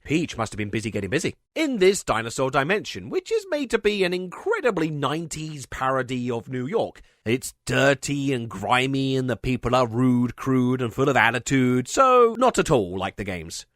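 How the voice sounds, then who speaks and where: A man hosting a video essay, delivering scripted comedic narration in a wry way with deadpan asides. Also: medium pitch, no echo, studio quality. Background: music under the voice.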